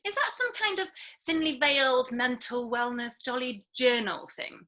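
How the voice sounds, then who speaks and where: A woman's voice: high voice, animated, moderate pace, pushed and bright. A woman playing a harried, wry young working mother in a script table read, with sardonic banter. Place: remote video call table read. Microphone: video call.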